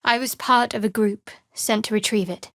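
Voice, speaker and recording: An actress playing a guarded, sardonic young female cleric of a dark goddess in a video game, with dry, measured delivery. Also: medium pitch, steady tone, no echo, close to an everyday voice. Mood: composed.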